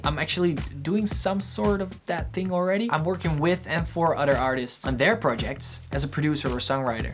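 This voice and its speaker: Upbeat young Dutch man chatting. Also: fairly high pitch. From Netherlands. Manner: chatty, upbeat, quick to laugh at himself. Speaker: musician and producer. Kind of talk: casual Q&A vlog.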